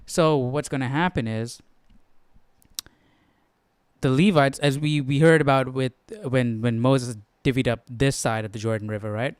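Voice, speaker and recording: A man's voice: mid-range voice, fairly even intonation, unhurried, clean tone, dry recording, clean studio sound. A man hosting a Bible study, explaining casually and tentatively as he thinks aloud, often checking himself. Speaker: host of a Bible study stream.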